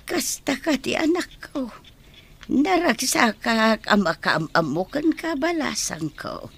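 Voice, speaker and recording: A woman's voice: high voice, very animated, takes it slowly, vocal fry, a bit bright, slightly rough, exaggerated voice. A woman acting in an Ilocano radio drama, playing a kindly, welcoming elderly grandmother. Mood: pleased, welcoming.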